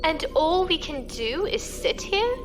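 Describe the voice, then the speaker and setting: A woman's voice: extremely high pitch, sing-song, measured pace, music in the background, heavily acted. A woman playing an inquisitive, uneasy newcomer to a space pirate crew, talking informally in an audio drama. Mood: worried, regretful. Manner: questioning, informal. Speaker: voice actor. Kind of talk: science fiction audio drama dialogue.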